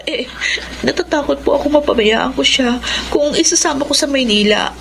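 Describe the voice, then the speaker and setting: A woman's voice: sits high, normal speed, vocal fry, slight background noise. An actress playing a humble, anxious young Filipino mother in a radio drama, deferential and apologetic. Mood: pleading, anxious.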